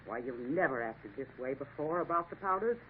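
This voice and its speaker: A woman's voice: low voice, lively intonation, a little fast, slight background noise. A woman playing a scheming proprietor of a wicked club, cold and commanding, in a 1950s radio drama. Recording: narrow lo-fi 1950s radio broadcast.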